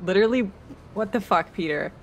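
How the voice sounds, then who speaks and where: A woman improvising a game dub as a blunt, exasperated young ex-girlfriend, casual and deadpan. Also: medium pitch, fairly quick, slight background noise, close to an everyday voice. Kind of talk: improvised comedic game fandub.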